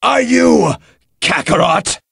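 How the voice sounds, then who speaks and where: A man voicing an arrogant, vicious alien warrior villain in an anime fighting game, gravelly and raspy, sneering and taunting as he shouts. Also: high-pitched, animated, slow pace, dry recording, studio quality, exaggerated voice. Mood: menacing. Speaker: video game character voice actor.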